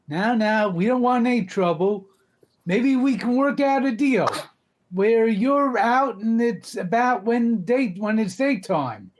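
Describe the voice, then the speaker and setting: A gruff old adventurer from a cartoon, as put on by a man. He speaks as a wise veteran handing down advice to youngsters. The delivery is theatrical, made for a comic dub. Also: very high-pitched, expressive, slow, heavily acted. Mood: placating.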